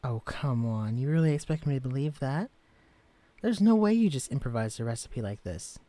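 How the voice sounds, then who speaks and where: A man voicing a gentle, shy young man who has been shrunk to tiny size, speaking softly and stammering when flustered. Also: medium pitch, no echo, close to an everyday voice. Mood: teasing. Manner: soft-spoken, hesitant, stammering when flustered. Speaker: character in a listener-directed romance audio. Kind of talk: audio roleplay drama.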